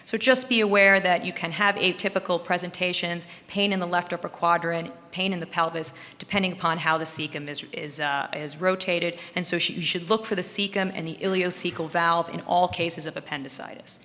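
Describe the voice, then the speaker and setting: Female medical lecturer, lowish pitch, explanatory delivery through case examples, heavily reverberant recording. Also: fairly even intonation, a little fast, hardly any pauses, bright, forceful tone. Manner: explanatory, talking through slides and cases. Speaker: radiologist lecturer.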